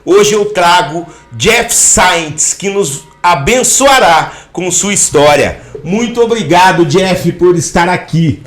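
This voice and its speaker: Guy hosting a podcast, gushing inspirational talk, kinda high voice, echoey room. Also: animated, slow, hardly any pauses, fairly bright tone, soft background music. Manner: effusive, inspirational phrasing. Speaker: podcast host. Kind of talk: podcast interview.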